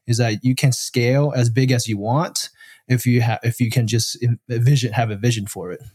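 A man's voice: medium pitch, steady tone, moderate pace, darkish tone, breathy edge, dry recording, studio quality. A man from Taiwan, a podcast guest, answering casually with many fillers and restarts. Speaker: podcast guest, real estate syndicator.

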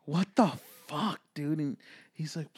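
A man's voice: a little high, a little slow, slightly rough. A man in his twenties with a Midwestern American accent, co-hosting a casual comedy podcast, joking and swearing freely. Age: 20s. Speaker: podcast co-host.

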